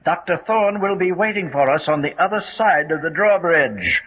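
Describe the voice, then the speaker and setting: Radio-serial villain: high voice, oily mock courtesy, chuckling menace, very noisy recording. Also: male voice, lively intonation, moderate pace. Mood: brisk, smug. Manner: chuckling, mock-polite, savoring his words. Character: gloating, falsely genial villain running a castle prison. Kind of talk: radio mystery serial acting. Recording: noisy, dull old-style radio recording.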